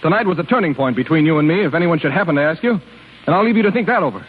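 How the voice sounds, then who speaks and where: A man's voice: fairly high pitch, expressive, rapid-fire, a little hiss or hum, lightly acted. A man playing an irritable, accusing young husband in a 1930s radio drama, brusque and indignant. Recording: muffled 1930s radio broadcast with music.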